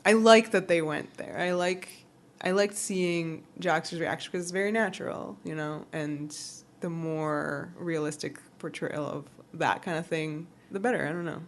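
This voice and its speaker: A woman's voice: low voice, moderate pace. A woman co-hosting a podcast, retelling a story casually in slangy words and quoting the characters. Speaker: podcast co-host. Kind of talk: casual recap and chat.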